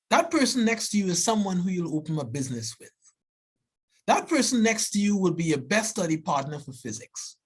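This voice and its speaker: A man's voice: high voice, slightly rough. Earnest Trinidadian man giving a talk. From Trinidad and Tobago. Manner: earnest and animated, with frequent restarts and "right?" asides. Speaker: biology professor giving a seminar. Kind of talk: seminar talk.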